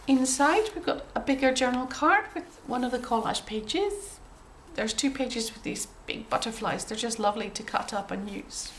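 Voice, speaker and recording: Croaky woman chatting about her crafts. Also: on the high side, a little hiss or hum. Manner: gentle, chatty, with frequent ums and throat clearing. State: croaky from a cold. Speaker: craft journal maker showing her work. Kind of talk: casual show-and-tell.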